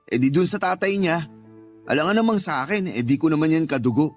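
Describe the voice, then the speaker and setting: A man's voice: fairly high pitch, lively intonation, brisk, faint music behind, natural voice. A man playing a callous, irritable husband in a Tagalog radio drama, curt and dismissive. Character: callous, irritable husband who resents his stepchild.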